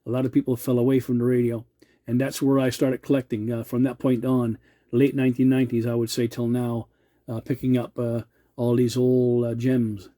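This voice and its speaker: A man's voice: medium pitch, completely flat delivery, normal speed, dark tone. A middle-aged man from Canada chatting casually as a radio hobbyist, rambling a little and using radio lingo.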